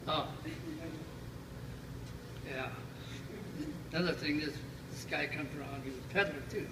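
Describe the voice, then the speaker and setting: A man's voice: a little high, steady tone, unhurried. Older man reminiscing in a noisy, echoey room. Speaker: family storyteller. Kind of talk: casual reminiscing, storytelling. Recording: roomy, distant home recording with loud noise.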